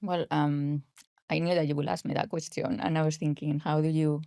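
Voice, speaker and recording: A woman's voice: low-pitched, level tone, brisk, creaky, dark tone, no room sound, studio quality. A woman in her forties with a Spanish accent, a podcast guest talking casually and hesitantly with many fillers. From grew up in Spain. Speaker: podcast guest, health coach. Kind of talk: casual interview talk.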